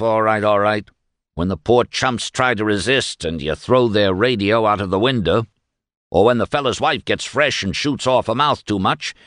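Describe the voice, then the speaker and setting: A man's voice: on the low side, a bit bright, no room sound, studio quality. A man voicing a crude, smug fascist militia boss in an audiobook, slangy, boastful and condescending.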